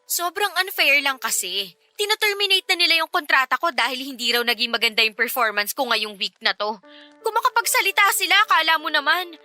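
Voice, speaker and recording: A woman's voice: high voice, expressive, brisk, sharp tone, faint music behind, exaggerated voice. A woman playing a self-sacrificing young working woman in a Tagalog radio drama, earnest, emotional and firm. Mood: bitter, hurt.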